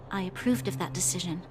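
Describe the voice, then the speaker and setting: An actress playing an earnest, dutiful young female officer in a video game, speaking in a measured, formal, reflective way. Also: on the low side, a little hiss or hum. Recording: faint music underneath.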